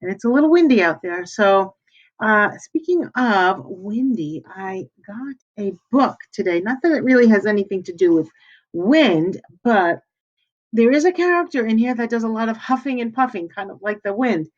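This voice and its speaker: A woman reading a storybook aloud like a teacher, pausing to ask children questions and answer them. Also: medium pitch, animated, muffled recording.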